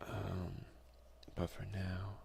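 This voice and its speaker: A man speaking softly, at times in a breathy half-whisper, in a casual, hesitant ASMR let's play on a close headset mic. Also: low-pitched, monotone, drawn-out words, a little hiss or hum. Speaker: gaming ASMR video creator. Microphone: close headset boom mic.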